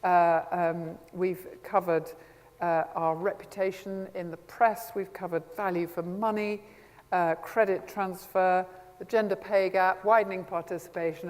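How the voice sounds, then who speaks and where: Woman, panel chair, brisk and upbeat, somewhat low voice, long hall reverb. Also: darkish tone. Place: large conference hall. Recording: echoey conference hall.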